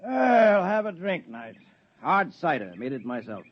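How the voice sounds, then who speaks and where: An actor playing a lazy, bookish eccentric neighbor in a radio drama, languid and wryly self-satisfied. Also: male voice, a little high, big pitch swings, measured pace. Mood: wry, amused. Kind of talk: 1950s radio drama acting. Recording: dull lo-fi old radio recording.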